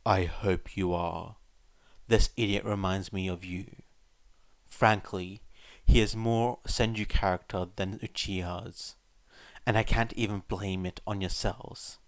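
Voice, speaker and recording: Man acting elderly clan leader, low pitch, weary, proud, reflective, fond, unhurried storybook delivery. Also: fairly even intonation, no room sound. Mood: proud, wry.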